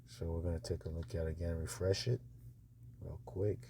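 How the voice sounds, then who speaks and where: A man hosting a casual review of voice-over auditions, speaking in halting, repetitive asides as he goes. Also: sits low, little pitch movement, gravelly, warm tone, slight background noise. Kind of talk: casual screen-recording commentary.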